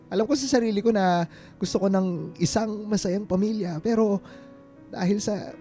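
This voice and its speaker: A devoted, vulnerable Filipino man in a radio romance drama. His voice is soft-edged and mellow, pitched a little high for a man. He speaks haltingly and earnestly, with feeling, over faint music. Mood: pained, confessing. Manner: halting, earnest, emotional delivery. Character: devoted, vulnerable man confessing love to his reunited sweetheart.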